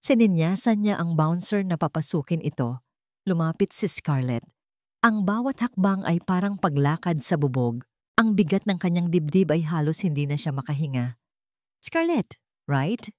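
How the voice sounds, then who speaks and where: A woman's voice: deep voice, moderate pace, darkish tone, dry recording, studio quality. A woman with a Filipino accent narrating a scripted love drama with dramatic, emphatic phrasing. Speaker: storyteller.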